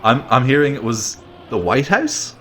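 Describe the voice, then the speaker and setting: A man's voice: medium pitch, sing-song, moderate pace, fairly bright tone, a little hiss or hum. A man co-casting an esports stream with casual, wry banter and commentary. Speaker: esports co-caster.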